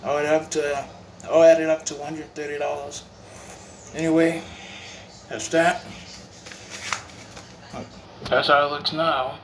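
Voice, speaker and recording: A man's voice: a little high, flat delivery, takes it slowly, pauses often. A man doing a casual home review vlog, rambling and restarting his phrases as he films on a handheld phone. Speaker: cigar vlogger. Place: home room with bare walls. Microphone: phone held while filming. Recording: echoey room, faint room noise.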